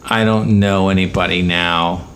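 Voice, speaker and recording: American man, agreeable joking co-host, deep voice with vocal fry, slightly noisy recording. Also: fairly even intonation, normal speed, fairly bright tone. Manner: agreeable, joking, short interjections. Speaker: podcast co-host. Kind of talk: casual TV rewatch podcast chat.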